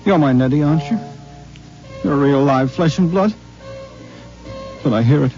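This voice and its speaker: An actor playing a worldly, self-assured married man who talks briskly and persuasively, with sighs and hums, in a 1950s radio drama. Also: on the high side, mellow, soft background music, close to an everyday voice. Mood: alarmed. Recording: dull lo-fi 1950s radio broadcast with faint hiss.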